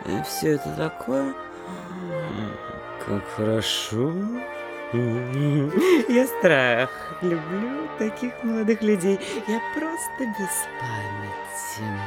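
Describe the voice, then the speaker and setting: An actress playing a vain, coquettish middle-aged mayor's wife in a classic comedy audio drama, gushing and haughty in a theatrical way. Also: female voice, deep voice, sing-song, slow, loud music behind the voice, full character voice.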